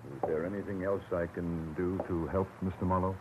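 An actor playing a courteous, solemn mortuary attendant in a 1940s radio drama, with a formal, polite, hushed manner. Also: male voice, low voice, fairly even intonation, brisk, natural voice. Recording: muffled, very noisy old radio recording.

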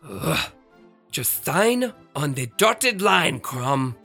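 An actor playing a flamboyant, fussy, excitable radio star with emphatic, theatrical delivery in a children's audio drama. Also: medium pitch, drawn-out words, gravelly, soft background music, full character voice. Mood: exasperated, bossy.

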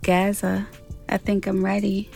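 A woman's voice: medium pitch, monotone, measured pace, creaky. An actress playing a devoted, principled woman in her thirties in an audio drama, speaking frankly and earnestly. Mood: eager, loving. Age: 30s. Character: devoted thirty-five-year-old girlfriend, principled and determined. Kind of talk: scripted audio drama acting. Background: background music.

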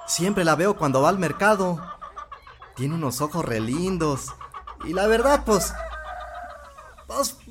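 A man playing a shy, halting, lovestruck young Mexican suitor in an audio drama. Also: sits high, very animated, exaggerated voice. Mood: shy, nervous. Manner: halting, stammering. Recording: noisy, chickens and birds behind.

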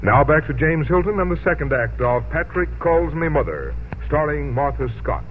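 A man's voice: on the high side, sing-song, normal speed, over loud music. An American man announcing on 1950s radio, reading a sponsor message in a folksy, friendly sales style. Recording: tinny, lightly noisy vintage radio broadcast.

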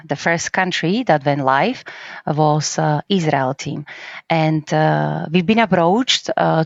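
Slovak woman, low animated voice. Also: no echo, highs cut off. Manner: animated, many fillers and tag questions. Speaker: business services team leader, guest. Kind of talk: podcast interview.

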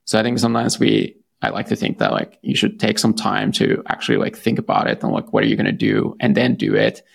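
Finnish man with a gravelly, lively voice. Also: lowish voice, a little fast. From Finland. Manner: hesitant, many fillers and restarts. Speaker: guest, startup founder. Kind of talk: casual interview answers.

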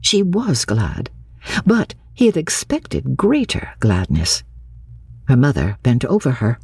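A woman's voice: very deep voice, huge pitch swings, slow, darkish tone, breathy edge, dry recording, clean studio sound. A woman narrator giving a dramatic reading of literary fiction.

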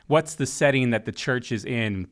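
A man's voice: medium pitch, normal speed. A man from Massachusetts hosting a casual discussion, friendly and rambling with many restarts. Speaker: podcast host.

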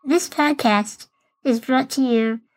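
A woman's voice: high-pitched, slow pace, noisy background. A woman, speaking as a podcast host. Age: late 30s.

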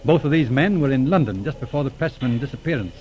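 An actor in a 1940s radio drama playing a shrewd, calm, middle-aged police inspector with a measured, probing manner. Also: male voice, medium pitch, dull audio, a little hiss or hum, lightly acted. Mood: calmly probing. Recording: old radio drama recording.

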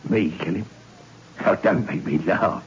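An actor playing a cocky, slippery working-class prisoner, in 1960s radio drama style. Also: male voice, low-pitched, measured pace, husky, full character voice. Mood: cheeky, insistent. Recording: dull old radio recording.

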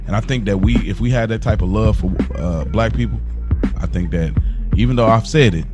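A man with a low-pitched voice chats as a podcast co-host. His delivery is informal and meandering, circling toward each point. Music is clearly heard underneath. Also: a little fast. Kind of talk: casual podcast conversation.

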